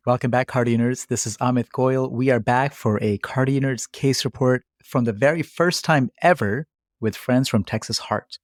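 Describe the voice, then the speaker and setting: A man's voice: mid-range voice, moderate pace, no room sound. A man with a mid-range voice co-hosts with eager enthusiasm. His voice is dry and close, with no room sound around it. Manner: enthusiastic, welcoming. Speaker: podcast co-host.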